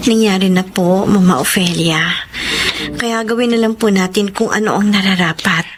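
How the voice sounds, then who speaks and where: A woman's voice: mid-range voice, moderate pace, a little hiss or hum, lightly acted. An actress as a subdued, polite young mother. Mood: resigned. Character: resigned, deferential young mother needing a fresh start. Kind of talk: Filipino radio drama acting.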